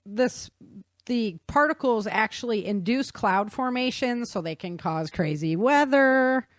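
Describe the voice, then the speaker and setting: A woman's voice: fairly high pitch, lively intonation, unhurried, dry recording, studio quality. A woman hosting a talk show, explaining science in a chatty, informal way and checking in with her listener.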